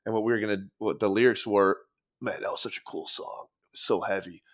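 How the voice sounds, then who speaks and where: Man with a very deep voice as a loose, rambling podcast guest who swears casually. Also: steady tone, a little fast. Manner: rambling, many fillers and restarts, casual swearing. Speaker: podcast guest, former band guitarist. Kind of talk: casual conversation.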